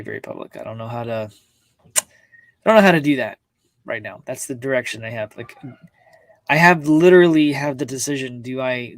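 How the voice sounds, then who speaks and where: A man with a mid-pitched voice, recorded dry and close, hosts a casual personal livestream. He talks in a halting, reflective way, repeating words as he works through his thoughts and addresses his viewers. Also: no room sound. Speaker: host of a personal live-streamed show. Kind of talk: casual, confiding livestream talk to viewers, reading chat comments.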